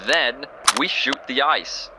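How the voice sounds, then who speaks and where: A man's voice: medium pitch, takes it slowly, noisy background, lightly acted. A man playing a cheerful, oddly oblivious male biologist. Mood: chipper.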